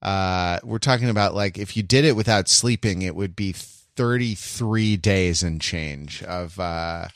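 A man hosting a podcast in casual, reflective chat, rambling and halting as he circles back over his phrases. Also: deep voice, dry recording, clean studio sound. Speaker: podcast host.

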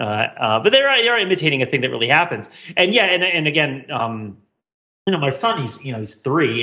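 A man's voice: medium pitch, bright, forceful tone, muffled recording. A middle-aged man hosting a podcast, talking in a discursive, wry, academic way in casual discussion. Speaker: podcast host.

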